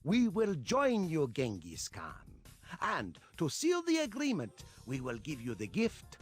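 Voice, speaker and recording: A proud Mongol tribesman for a game, demanding and theatrical. He declaims very slowly with huge swings of pitch, over clear music. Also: wildly swooping pitch. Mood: proud, declamatory. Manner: slow, declamatory delivery. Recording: music behind the voice.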